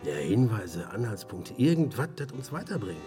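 An actor playing a gruff, blunt, rumpled investigator in a German radio drama, talking colloquially. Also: male voice, on the low side, big pitch swings, normal speed, dark tone, faint music behind. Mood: subdued.

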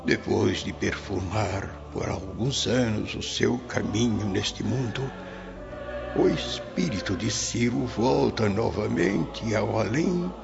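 An actor playing a tender, solemn old grandfather's spirit in a Brazilian radio drama, preaching consolation in measured phrases. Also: lowish voice, creaky, full character voice. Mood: tender, consoling. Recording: dull lo-fi audio under music. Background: music.

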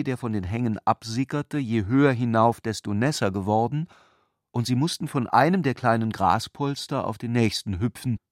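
A man performing as the contemplative narrator of a literary radio drama, with deliberate, reflective phrasing. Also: medium pitch, dry recording, studio quality, close to an everyday voice.

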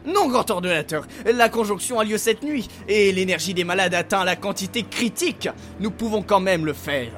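An actor playing a reverent, obsequious cult priest in a French audio drama, speaking in a formal, ceremonious way. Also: male voice, high-pitched, lively intonation, normal speed, hardly any pauses, fairly bright tone. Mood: deferential, formal. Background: faint dramatic music.

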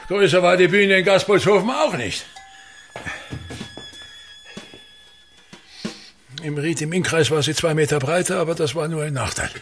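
An actor playing a pompous aging state actor in a radio play, declaiming and grumbling bitterly, swinging between mutters and outbursts. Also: male voice, fairly high pitch, fast talker, fairly bright tone, soft background music. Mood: complaining. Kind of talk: theatrical radio-play monologue.

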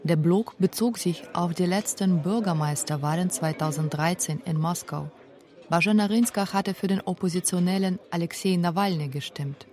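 A woman's voice: on the low side, moderate pace, darkish tone. A woman narrating a scripted radio documentary feature.

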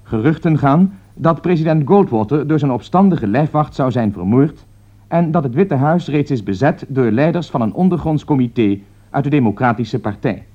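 An actor playing a grave radio newsreader giving an emergency bulletin in formal, measured Netherlands Dutch. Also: male voice, medium pitch, lively intonation, warm tone, lightly acted. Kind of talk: 1960s radio drama news bulletin. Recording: dull vintage 1960s radio recording.

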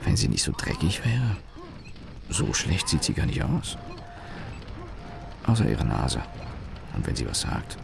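A grumbling young narrator in a radio play, with a very low, creaky voice. He is deadpan and sardonic, over noisy background ambience. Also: measured pace, pauses often, full character voice. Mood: deadpan, disgruntled. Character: grumbling, disaffected young man named Daniel, sardonic narrator. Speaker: narrator and main character. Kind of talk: radio drama narration in first person.

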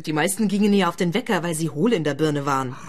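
A woman's voice: low-pitched, fast talker. An actress playing a candid, gossipy woman in a radio play, matter-of-fact in manner. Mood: frank, gossipy. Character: gossipy, candid best friend of a murdered woman.

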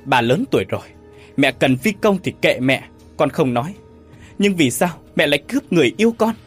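A man's voice: medium pitch, animated, a little fast, fairly bright tone, soft background music. A man voicing a hot-tempered young man who feels betrayed, in an audio story. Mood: outraged.

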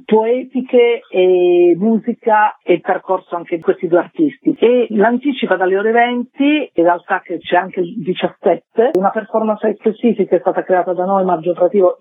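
A woman's voice: mid-range voice, no echo. A woman, a festival director explaining a programme as a radio interview guest. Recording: narrow-band radio phone line.

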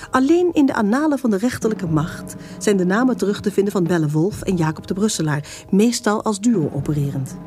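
A woman narrator reading a scripted story for a radio serial. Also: medium pitch. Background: music.

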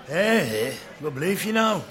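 Lively, wry policeman. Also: a little high, takes it slowly, a faint crowd, heavily acted. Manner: teasing, informal. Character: wry, easygoing policeman who drinks on duty. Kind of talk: radio drama acting.

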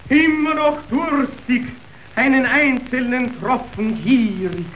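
A man's voice: extremely high pitch, slow, lo-fi sound, slight background noise. A man acting an impassioned messenger in a radio drama, declaiming verse in a theatrical manner that moves between grave and frenzied. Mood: frenzied, shouting. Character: impassioned male messenger reporting a stock market collapse.

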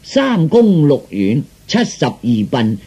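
A man's voice: a little high, huge pitch swings, slow pace, mellow, husky, full character voice. An actor playing a pompous, self-indulgent king and doting father in a comic radio drama, speaking with hesitations and sighs. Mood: pompous, coaxing.

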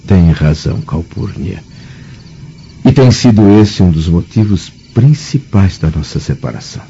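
An actor with a Brazilian accent playing a troubled, proud middle-aged Roman nobleman in a radio drama, formal and anguished, with a husky voice. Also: low voice, animated, measured pace, mellow, a little hiss or hum, exaggerated voice. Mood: grave, indignant. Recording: dull, muffled radio drama recording.

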